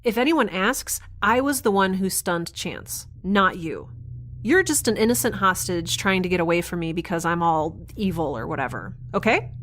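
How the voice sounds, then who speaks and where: A woman's voice: fairly low pitch, animated, normal speed, fairly bright tone, a little hiss or hum. A woman playing a defiant, wry young rebel on the run in a science fiction audio drama, chatty and given to sarcastic asides. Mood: relieved, brisk.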